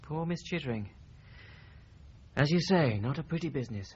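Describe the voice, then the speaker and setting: A man's voice: fairly high pitch, expressive, moderate pace, darkish tone, husky, dull audio. An actor playing a thoughtful, wry British office man in a radio drama, with a conversational manner.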